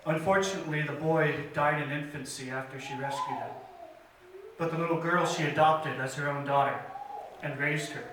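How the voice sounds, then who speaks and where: A man telling a missionary's life story at a church story time, in an earnest, measured way with pauses and small fillers. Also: on the high side, noisy background. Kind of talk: children's story time retelling. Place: church sanctuary. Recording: very echoey church hall from a distance.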